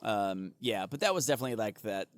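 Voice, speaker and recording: A man's voice: on the low side, lively intonation, fast, no room sound, studio quality. A man co-hosting a podcast in casual chat, rambling and swearing, laughing often and full of fillers. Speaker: podcast co-host.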